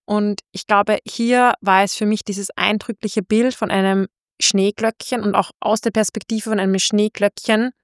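A woman's voice: mid-range voice, steady tone, normal speed, few pauses, dry recording, clean studio sound. A woman talking casually about literature as a podcast host.

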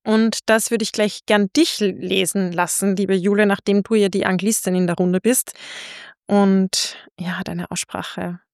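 A woman's voice: medium pitch, fairly even intonation, unhurried, no room sound, clean studio sound. A woman talking casually about literature as a podcast host.

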